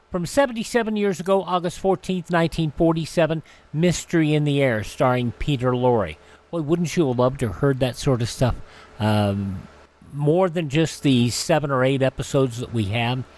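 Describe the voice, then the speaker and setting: Chatty male radio host, fairly high voice. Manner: chatty, conversational. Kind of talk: informal presenting of old radio shows.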